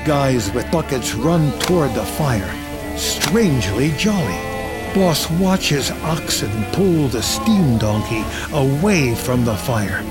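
Slow, measured male screenplay narrator over music. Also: medium pitch, very animated. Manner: measured reading of action in present tense. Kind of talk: scripted narration.

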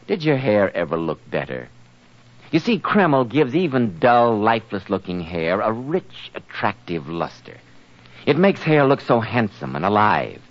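Casting: male American vintage radio announcer, lowish voice, lively chummy sales delivery, slightly muffled old recording. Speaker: announcer and sponsor pitchman. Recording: dull lo-fi 1940s radio recording.

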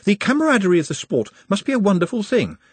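A man's voice: high-pitched, very animated, quick pace, slightly rough, no room sound, pitched above the performer's own voice, full character voice. A man voicing a skinny young male goblin who speaks in a formal, deferential, apologetic and earnest way for a storybook. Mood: earnest, courteous.

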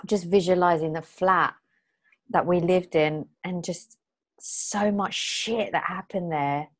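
A woman in her thirties co-hosting a casual chat, animated and playfully protesting, calling her friend "babe.". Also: fairly low pitch, takes it slowly. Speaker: podcast co-host.

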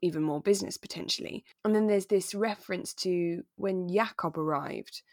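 A woman with a lowish voice chats informally as a podcast co-host. She is recorded very dry, with no room around her. Her talk is relaxed, conversational and readily amused. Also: moderate pace, dry recording. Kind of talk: relaxed chat recapping a radio drama.

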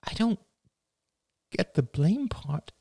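A man's voice: mid-range voice, slow pace, creaky, slightly rough, no echo, studio quality, pitched above the performer's own voice, full character voice. Man voicing a distant, wounded daughter, shift+. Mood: hurt, bewildered. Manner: murmuring, hesitant. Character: distant, wounded adult daughter, quietly bewildered. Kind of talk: dramatic audiobook dialogue.

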